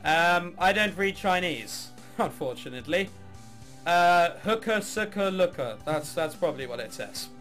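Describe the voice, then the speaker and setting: A man's voice: sits high, lively intonation, measured pace, fairly bright tone, faint music behind. A man doing a let's play of a visual novel, narrating and throwing in sarcastic, mock-exasperated asides. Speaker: gamer reading and commenting on a visual novel.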